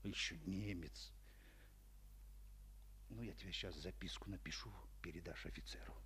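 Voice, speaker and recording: An actor playing a scheming, contemptuous conspirator in a 1950s Soviet wartime radio drama, in a husky voice. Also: male voice, deep voice, normal speed, pushed and bright, a little hiss or hum. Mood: sly, contemptuous.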